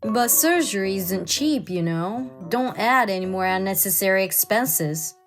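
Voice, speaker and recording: A woman's voice: medium pitch, expressive, measured pace, faint music behind, voice pushed down, close to an everyday voice. A woman voicing a domineering, scornful older mother-in-law in a dramatized manga story, scolding and haughty. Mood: condescending.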